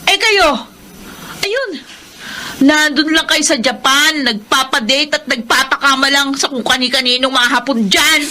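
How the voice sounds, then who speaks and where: Isang babaeng aktres na Pilipina na gumaganap bilang mapait at rebeldeng labingwalong taong gulang na anak sa drama sa radyo, palasagot at mapanuya. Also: female voice, high voice, a little slow, pushed and bright, a little hiss or hum. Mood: angry, accusing. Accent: Filipino. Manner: confrontational, sarcastic. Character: bitter, rebellious eighteen-year-old daughter. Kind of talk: Filipino radio drama acting.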